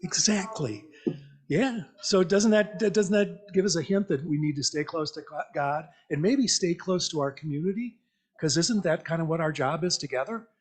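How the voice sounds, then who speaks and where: Higher-voiced man giving a conversational sermon. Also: moderate pace. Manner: conversational, reflective, repeats listeners' answers back. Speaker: lay preacher giving an interactive church message. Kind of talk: interactive sermon, asking the congregation questions. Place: church meeting, speaking to a congregation.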